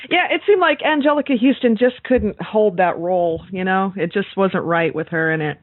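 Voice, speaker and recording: A woman's voice: mid-range voice, normal speed. A woman co-hosting a podcast interview in casual chat, readily agreeing and responding to her guest. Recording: muffled phone-like call audio.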